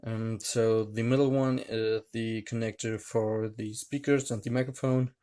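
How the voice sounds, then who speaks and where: A man reviewing a gadget hands-on, talking in a hesitant, halting way with many fillers. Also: on the low side, steady tone, warm tone. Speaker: tech reviewer. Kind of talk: hands-on product demonstration.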